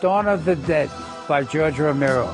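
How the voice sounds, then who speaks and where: An older man with a somewhat high voice tells anecdotes in an interview. He is plain-spoken and salty, like a casual raconteur. Guitar music plays clearly behind him. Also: moderate pace. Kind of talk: anecdotal conversational storytelling.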